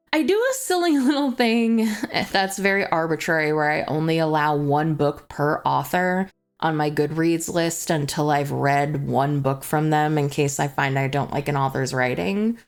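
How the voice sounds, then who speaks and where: Chatty young woman book vlogger over music. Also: fairly low pitch. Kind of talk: chatty book review to camera. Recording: music playing behind the voice. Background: background music.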